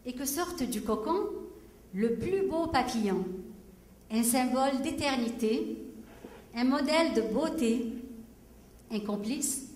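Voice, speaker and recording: A woman's voice: high-pitched, expressive, slow pace, long pauses, darkish tone. A woman from Egypt whose first language is Arabic, a singer-songwriter hosting a benefit concert and reciting a poem on stage. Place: large concert hall. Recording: echoey hall from far off with music.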